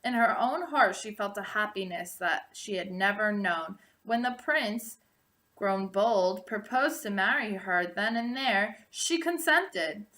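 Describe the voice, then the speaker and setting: A woman narrating a storybook aloud, expressively and deliberately, drawing out the ends of phrases. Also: mid-range voice, lively intonation, bright, forceful tone. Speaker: storybook reader.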